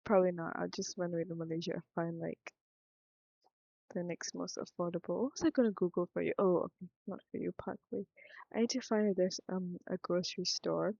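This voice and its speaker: A woman's voice: on the low side, steady tone, fairly quick, creaky, dark tone, no echo, dull audio. A young woman from Singapore with a Singaporean accent, thinking aloud in a rambling, frank vlog monologue. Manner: meandering, thinks aloud, self-interrupting, frank. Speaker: vlogger.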